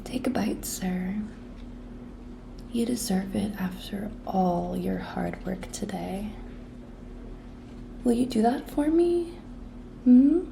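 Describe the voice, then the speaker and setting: A woman playing a cute, pushy young intern coaxing her boss in an intimate, breathy ASMR whisper, coy and teasing. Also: mid-range voice, slow, long pauses, background noise. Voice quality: breathy whisper, soft-spoken. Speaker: ASMR roleplay performer.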